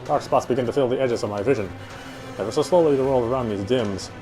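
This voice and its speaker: A man's voice: medium pitch, fast talker, over music. A man reading a horror visual novel aloud as first-person narrator, with a dramatic, tense delivery.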